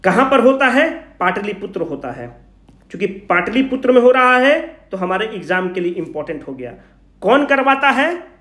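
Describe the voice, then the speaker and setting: A man's voice: high voice, sing-song, moderate pace, bright, forceful tone. A man with a Bihari Hindi accent lecturing informally as an exam coach, emphatically asking questions, answering them himself and repeating key points in colloquial speech. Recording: echoey room.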